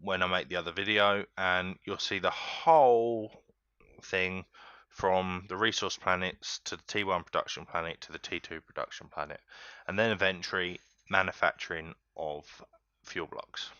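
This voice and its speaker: A man hosting a casual game tutorial, walking viewers through each step in a relaxed, chatty way and often calling them guys. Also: low-pitched, normal speed, clean tone, dry recording, dull audio. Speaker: gaming tutorial host.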